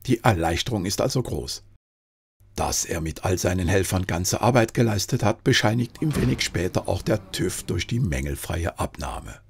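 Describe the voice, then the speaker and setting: Deep, gravelly male documentary narrator. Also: expressive. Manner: formal, earnest, measured wording. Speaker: narrator and filmmaker. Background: background music.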